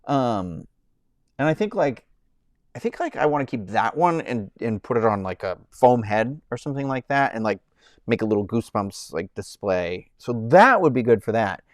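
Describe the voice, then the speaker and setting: A man in his thirties chats loosely to his live-stream viewers. His talk wanders, full of "like" and "um" and sentences he starts over. His pitch sits in the middle for a man, and the sound is dry and close, with no room echo. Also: very animated, moderate pace. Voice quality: says he has a high-pitched voice. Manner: rambling, many fillers and restarts. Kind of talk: casual live-stream chat.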